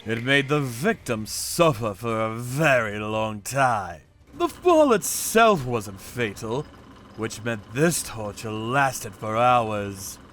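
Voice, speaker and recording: A man's voice: medium pitch, big pitch swings, slow pace, fairly bright tone, slight background noise. A man narrating a manga story in the first person with a theatrical, cocky delivery.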